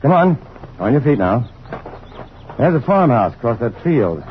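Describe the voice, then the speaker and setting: An American actor playing a calm, reassuring, educated scientist hero in an old-time radio drama. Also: male voice, medium pitch, measured pace. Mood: brisk, easygoing. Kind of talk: 1950s radio drama acting. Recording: dull, lo-fi old radio, faint noise.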